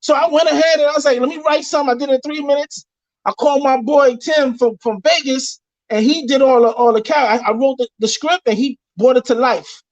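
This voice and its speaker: Loud slangy trinidadian guy, high voice, kinda muffled lo-fi sound. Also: steady tone, brisk, no echo. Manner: boisterous and slangy. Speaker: guest. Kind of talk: casual chat. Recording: dull dry lo-fi sound.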